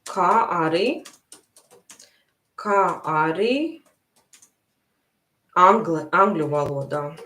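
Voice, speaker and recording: Woman, Latvian accent, language teacher, slow patient delivery, low voice, echoey room. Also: expressive, a bit bright. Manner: slow, patient, repeats phrases for learners. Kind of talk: live online language lesson.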